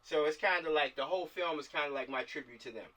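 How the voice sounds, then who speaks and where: Bright, higher-voiced man on a distant mic. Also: fast. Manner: slangy, repeats himself for emphasis. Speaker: filmmaker leading a script table read. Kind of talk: casual chat and directing actors. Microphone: video call. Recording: distant mic on video call.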